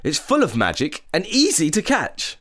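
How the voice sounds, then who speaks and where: A man voicing a brave, clever young boy in a children's story, calm and confident. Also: a little high, moderate pace, pitched above the performer's own voice, full character voice. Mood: sly, confident.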